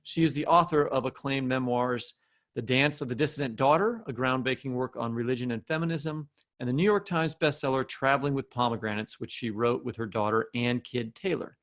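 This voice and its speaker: A man's voice: medium pitch, brisk, fairly bright tone. A man with an American accent co-hosting a podcast, making introductions and asking questions in a conversational way. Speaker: podcast co-host.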